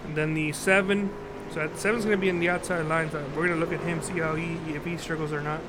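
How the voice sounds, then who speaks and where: A man doing live play-by-play commentary on a sim truck race, often halting and correcting himself, apologizing for his stutter and hiccups. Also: fairly high pitch, little pitch movement. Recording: loud engine noise behind.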